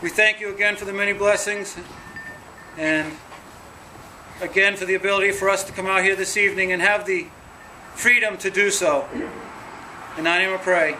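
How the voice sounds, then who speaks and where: A man's voice: sits high, fairly even intonation, pushed and bright, a little hiss or hum. A man leading an earnest spoken prayer at a nighttime outdoor vigil in a gazebo, recorded by a distant camera. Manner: earnest, measured praying.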